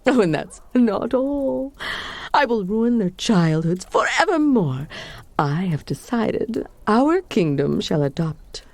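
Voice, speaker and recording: A woman performing a comedic monologue as a vain, scheming evil sorceress, sliding into hushed whispers and gloating laughs. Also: mid-range voice, very animated, slow pace, dry recording, full character voice. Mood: triumphant.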